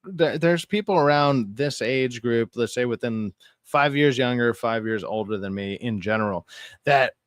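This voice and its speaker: A man's voice: mid-range voice, normal speed, dry recording, clean studio sound. A man in his thirties hosting a livestream talk show, chatting casually and giving exasperated, opinionated rants. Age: 30s.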